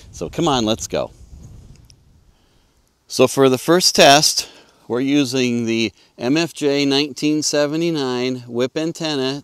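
A man's voice: mid-range voice, smooth, no room sound. A middle-aged man with an American accent, casually explaining his hobby in a plain-spoken, folksy way as a vlog host. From United States. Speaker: ham radio hobbyist and video host. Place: outdoors in an open field, partly inside a parked car.

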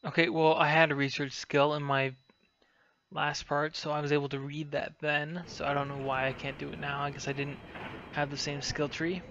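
A man's voice: fairly high pitch, level tone, normal speed, a bit bright, muffled recording, slight background noise. A man casually narrating his roleplay playthrough of a video game. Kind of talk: casual game commentary, partly in character.

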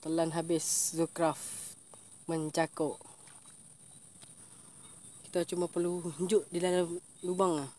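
A man's voice: fairly high pitch, slow, pauses often. Young Malaysian man narrating his fishing. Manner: excited shouts when a fish strikes. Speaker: fishing vlogger. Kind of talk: casual fishing commentary. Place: outdoors by a swampy plantation stream.

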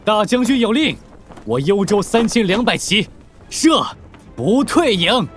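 An actor playing a loyal, resolute cavalry officer with fervent delivery in an audio drama. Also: male voice, sits high, big pitch swings, measured pace, faint music behind. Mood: rousing. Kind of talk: Chinese audio drama acting.

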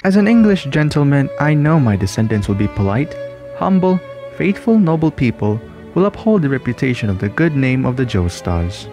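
Man voicing a courteous, animated English gentleman. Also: medium pitch, normal speed, music in the background. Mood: proud. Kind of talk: comic dub voice acting.

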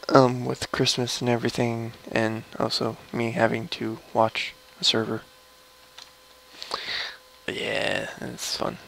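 A young man with a low voice, rambling casually through gameplay commentary at his computer. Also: little pitch movement, fairly bright tone, a little hiss or hum, rough recording. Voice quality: low, gravelly voice. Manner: slow, mumbly and rambling, trailing off. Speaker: gamer streaming a mining session.

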